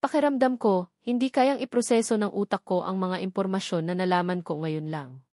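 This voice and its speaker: A woman's voice: medium pitch, a little fast, darkish tone, no room sound. Woman narrating a novel, dry sound. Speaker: audiobook narrator. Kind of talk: scripted first-person novel narration.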